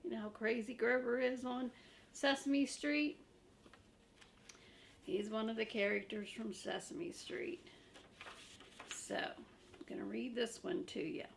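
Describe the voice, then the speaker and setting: Slow, creaky-voiced older woman at storytime. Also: on the high side, fairly even intonation, slight background noise. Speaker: grandmother reading a picture book to children. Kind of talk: casual storytime read-aloud.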